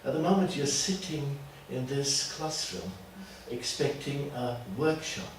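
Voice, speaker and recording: Echoey, distant Austrian man leading a workshop. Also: medium pitch. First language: German. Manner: halting, deliberate, with frequent tag questions. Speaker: teacher trainer leading a workshop. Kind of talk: informal workshop talk to a small group. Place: classroom. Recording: distant mic, echoey room, faint noise.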